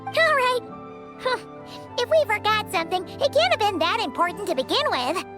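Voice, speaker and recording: Squeaky, excitable little fairy girl. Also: moderate pace, music in the background. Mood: cheerful, eager. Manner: chatty, refers to herself in third person. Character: tiny chirpy floating fairy girl companion, eager, excitable. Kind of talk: video game character acting.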